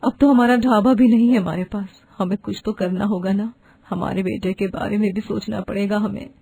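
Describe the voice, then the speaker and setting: A woman voicing an anxious, aging village wife and mother in an animated story, speaking in a pleading, emotional way. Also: medium pitch, moderate pace, gravelly, husky. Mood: worried.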